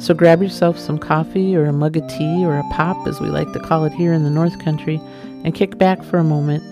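A woman's voice: low voice, steady tone, brisk, music in the background. A middle-aged woman from Minnesota with a light Minnesota accent, hosting a podcast and reading a wry, homey personal story. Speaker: podcast host. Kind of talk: scripted storytelling narration.

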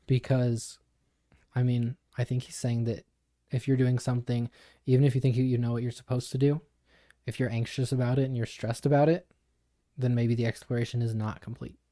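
A young man co-hosting a podcast, explaining ideas in a thoughtful, measured, conversational way. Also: medium pitch, little pitch movement, dark tone, dry recording, clean studio sound. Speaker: podcast co-host. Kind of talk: casual explanatory discussion.

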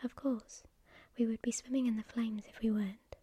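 A woman voicing a blunt, brawny male companion in a breathy whisper, with an offhand way of talking. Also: a little high, fairly even intonation, normal speed, dark tone, no room sound.

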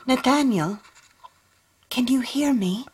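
A woman's voice: sits high, animated, takes it slowly, noisy background. An actress playing an anxious, protective wife and mother in a radio drama, questioning with worried insistence. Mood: anxious, tender.

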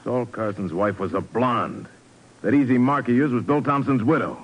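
A man's voice: mid-range voice, moderate pace, lo-fi sound, close to an everyday voice. An actor playing a tough, wary male crime boss with a curt manner in a 1950s radio drama. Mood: curt, alarmed.

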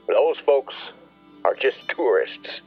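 Radio play farmer: bitter, nostalgic, plain-spoken, unhurried, muffled sound with music behind. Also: male voice, mid-range voice, lively intonation, breathy edge. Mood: aching, resentful. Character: grieving, bitter rural farmer, proud and nostalgic.

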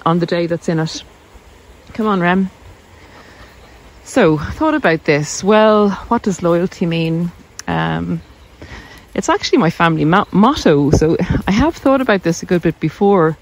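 Low-pitched Irish woman, chatting casually. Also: rough recording. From Ireland. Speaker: video host, narcissism coach. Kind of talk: casual chat to camera while walking. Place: outdoors on a country walk.

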